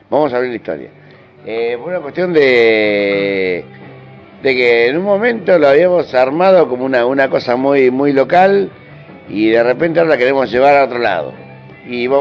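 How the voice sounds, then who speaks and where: A man with an Argentine accent answers questions informally, in a mid-pitched voice. His talk is slangy and repeats for emphasis. The sound is a little dull, with faint music behind him. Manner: colloquial, slangy, emphatic repetition. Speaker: interviewed rock musician. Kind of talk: informal interview. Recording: dull radio interview over music.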